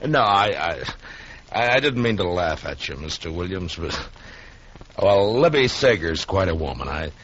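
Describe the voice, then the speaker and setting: An actor playing a calm, wry frontier marshal who talks in an unhurried, hesitant way, in a 1950s radio drama. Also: male voice, sits low, husky, exaggerated voice. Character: calm, easygoing frontier town marshal, grown man. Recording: dull old radio recording.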